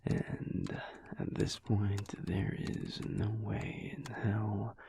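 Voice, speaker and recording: A man playing a laid-back, teasing alpha werewolf husband in an intimate audio roleplay, talking softly and slowly with dry humour in a low, gravelly voice. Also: flat delivery, full character voice. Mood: relaxed, reflective. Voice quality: low, husky, gravelly whispery voice. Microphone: close microphone.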